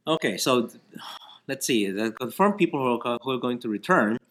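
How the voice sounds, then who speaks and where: Man, podcast co-host, congested nose, halting delivery, lively swooping pitch. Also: rough recording. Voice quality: hesitant, lots of fillers and restarts. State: stuffy, congested. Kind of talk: casual chat.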